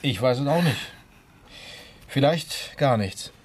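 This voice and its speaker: An actor playing a wry, sardonic police detective with a measured delivery in a German crime radio play. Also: male voice, medium pitch, expressive, background noise.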